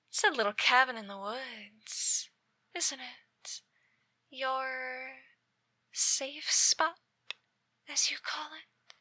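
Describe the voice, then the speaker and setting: Woman acting as a slow, bright-voiced obsessive stalker ex-girlfriend. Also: sits high, dry recording, heavily acted. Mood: taunting, obsessive. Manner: slow, menacingly sweet, slipping into breathy whispers. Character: obsessive yandere ex-girlfriend stalking her former lover. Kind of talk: ASMR roleplay, scripted monologue.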